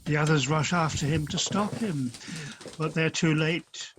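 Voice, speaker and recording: A man's voice: on the high side, unhurried, a bit bright, slight background noise. A man narrating stage directions from a script for a radio play.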